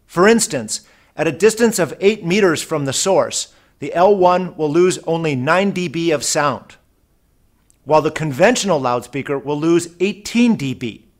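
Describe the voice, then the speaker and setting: Male narrator, slightly high voice, measured and precise delivery for an instructional product video. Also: lively intonation. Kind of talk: scripted narration.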